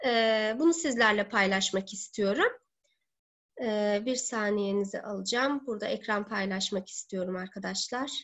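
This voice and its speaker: A woman in her thirties giving an online seminar as a teacher, speaking deliberately with halting, drawn-out words. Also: mid-range voice, a bit bright. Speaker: teacher presenting a webinar. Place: living room at home. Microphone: laptop or webcam mic on video call. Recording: dull lo-fi webcam call audio.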